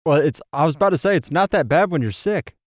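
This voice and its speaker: A man's voice: on the high side, lively intonation, fast talker, no echo, clean studio sound. A man filling in as a guest co-host on a podcast, easygoing in a casual chat and laughing often.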